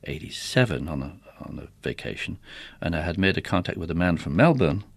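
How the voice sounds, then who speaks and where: A man's voice: low-pitched, very animated, fairly quick, gravelly, no echo. A middle-aged man chatting casually as an interview guest, looking back over his working life as a musician. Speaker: interview guest, theatre organist. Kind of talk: casual conversational reminiscence.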